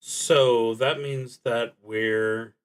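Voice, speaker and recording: A man streaming a solo tabletop roleplaying game, thinking aloud in a halting, deliberate way with pauses mid-thought. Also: medium pitch, fairly even intonation, clean tone.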